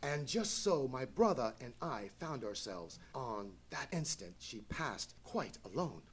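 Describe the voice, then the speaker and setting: A man playing an anxious, courteous English lord in a live radio play, with a husky voice and formal, florid speech. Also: mid-range voice, very animated, measured pace, a little hiss or hum. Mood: haunted, earnest. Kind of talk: live old-time radio play acting.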